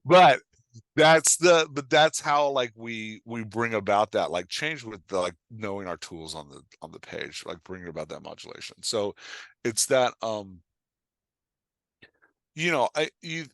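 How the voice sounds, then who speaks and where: A man's voice: on the low side, animated, a little slow, vocal fry, fairly bright tone, no room sound. A man giving a casual interview as a guest writing coach, explaining craft emphatically and checking in with the listener as he goes.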